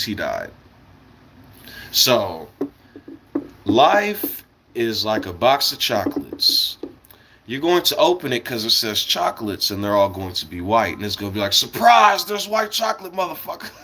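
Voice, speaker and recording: American male livestreamer, bright forceful voice, mid-pitched. Off-the-cuff, opinionated rambling with blunt, profane phrasing. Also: a little hiss or hum. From United States. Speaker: live streamer. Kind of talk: casual rambling livestream monologue.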